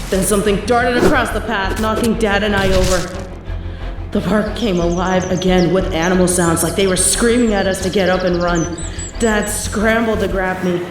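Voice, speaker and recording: Hushed young woman telling a horror tale. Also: medium pitch. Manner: hushed, tense storytelling. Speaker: audio script performer. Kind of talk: scripted horror narration. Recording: very echoey room.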